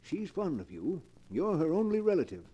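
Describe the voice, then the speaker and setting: An actor playing a fussy, scheming professor of spiritualism, pompous and precise, in 1940s radio drama. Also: male voice, fairly high pitch, huge pitch swings, moderate pace, dark tone, slightly rough, dull audio, full character voice.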